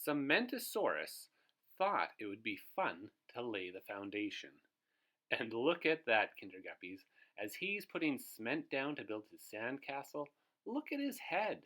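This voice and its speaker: A man's voice: medium pitch, big pitch swings, unhurried, bright, forceful tone. A man reading a children's storybook aloud as host, expressively, putting questions to his young listeners.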